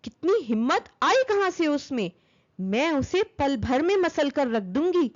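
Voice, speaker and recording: A woman voicing a boastful, pestering little female fly in a children's story, bragging and taunting, quick to anger. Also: high voice, sing-song, muffled recording. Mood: indignant, boastful.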